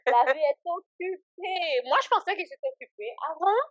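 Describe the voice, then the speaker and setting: A woman's voice: very high-pitched, expressive, normal speed, a bit bright, no room sound, highs cut off. A woman in her late twenties, born in Haiti and raised in Canada, chatting as an interview guest, hesitant with many fillers and laughing easily. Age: 20s. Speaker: guest, ministry founder. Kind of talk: casual interview chat. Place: Ottawa.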